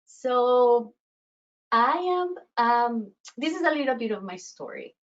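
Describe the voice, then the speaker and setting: Colombian-accented woman coach, halting delivery. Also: on the high side, lively intonation, highs cut off. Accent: Colombian Spanish. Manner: halting, many fillers and restarts. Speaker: self-worth coach. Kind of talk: webinar presentation.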